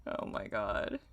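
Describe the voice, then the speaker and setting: A woman in her thirties reading a story aloud like a streamer, with self-mocking, wry asides and theatrical emphasis. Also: a bit bright. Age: 30s. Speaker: streamer reading own old fan fiction.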